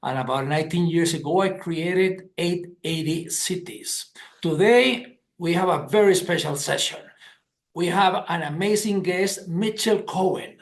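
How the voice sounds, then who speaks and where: A man's voice: high-pitched, unhurried, roomy sound. A man from Colombia, enthusiastically introducing a guest as host of a webinar.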